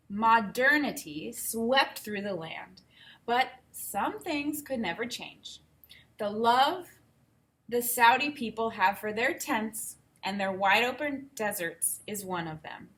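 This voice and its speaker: A woman with a somewhat high-pitched voice reads aloud as a teacher would. She moves between storybook narration and plain, explanatory commentary pitched at young learners. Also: fairly bright tone. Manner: explanatory, simple wording addressed to young learners. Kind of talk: read-aloud lesson with think-aloud commentary.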